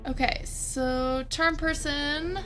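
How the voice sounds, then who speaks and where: A woman's voice: high-pitched, fairly even intonation, takes it slowly, music in the background. A woman chatting casually and reacting as a player in a tabletop roleplaying game.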